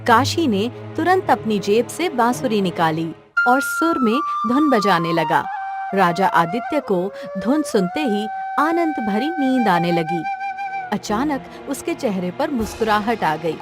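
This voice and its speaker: A woman's voice: medium pitch, very animated, measured pace, over loud music. A woman narrating a scripted children's cartoon story as the storyteller.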